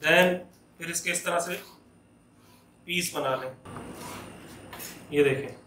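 A man with a high, bright voice walks through a recipe step by step, patiently explaining each cut. He talks while chopping in a home kitchen, and the room sounds echoey. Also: slow, a little hiss or hum. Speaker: home cooking channel host. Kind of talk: step-by-step cooking demonstration.

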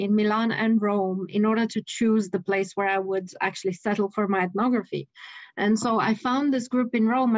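A woman's voice: on the high side, no echo, dull audio. Romanian-accented woman on a dry video call. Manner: animated, frequent restarts, laughs mid-sentence. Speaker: anthropologist guest. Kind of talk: casual interview storytelling. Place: home room with bookshelves.

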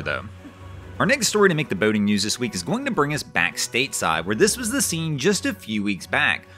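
A man hosting a weekly boating news roundup, reading scripted stories in a lively, rising and falling voice-over. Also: medium pitch. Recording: voice-over with faint background music.

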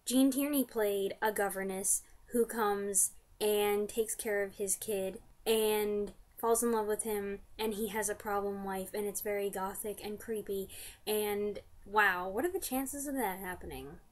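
A woman's voice: medium pitch, fairly even intonation, measured pace. A woman in her twenties chatting casually to camera, giving an enthusiastic fan review in informal slang. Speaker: vlogger reviewing radio drama. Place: small furnished room indoors.

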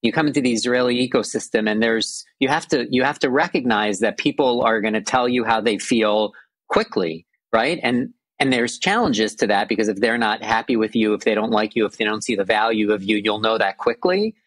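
Earnest American man, forties, low dry voice, video-call guest. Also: fairly quick, fairly bright tone, no room sound. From United States. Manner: earnest, repeats phrases for emphasis. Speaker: guest lawyer. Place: indoors, small room.

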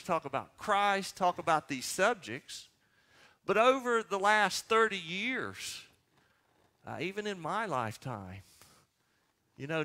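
High-pitched, forceful older male preacher. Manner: emphatic, asking and answering his own questions. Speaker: pastor. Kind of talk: sermon preached to a congregation. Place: church sanctuary.

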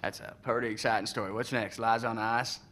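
Man acting Southern army lieutenant, lowish voice, drawling, sardonic, folksy, film dialogue with faint noise. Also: fairly even intonation, brisk, fairly bright tone, close to an everyday voice. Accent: Southern American. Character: unimpressed, folksy American soldier lieutenant.

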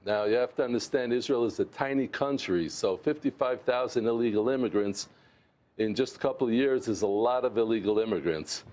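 A man's voice: mid-range voice, a little mellow. A man in his sixties from the United States, a former mayor interviewed remotely on television, speaking earnestly with frequent restarts on a lapel mic. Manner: earnest, halting with many restarts. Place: remote studio.

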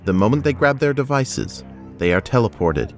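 A man's voice: medium pitch, lively intonation, normal speed, loud music behind the voice, natural voice. A man narrating an action audio drama in a sober, scripted way.

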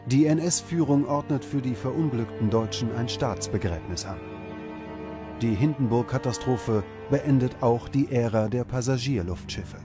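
A man reading scripted documentary narration in a measured, dramatic way. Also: mid-range voice. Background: music bed.

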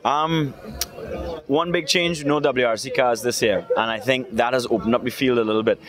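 Trini guy talking rally outdoors, lots of ums, crowd chatter around. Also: mid-range voice. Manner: relaxed, many "um" fillers, measured. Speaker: rally club president. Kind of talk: outdoor event interview. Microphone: handheld interviewer mic. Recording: outdoor event with crowd noise.

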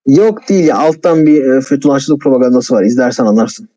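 A man's voice: mid-range voice, expressive, a little fast, warm tone, poor audio. A man giving blunt, argumentative film criticism in a casual, colloquial conversation. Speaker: film commentator, video maker.

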